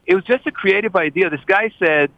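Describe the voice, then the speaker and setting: A man's voice: high voice, a little fast, no room sound, dull audio. A man, a Hebrew speaker, hosting a casual discussion and talking thoughtfully with frequent restarts. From Israel. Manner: thoughtful, hesitant with repeated restarts.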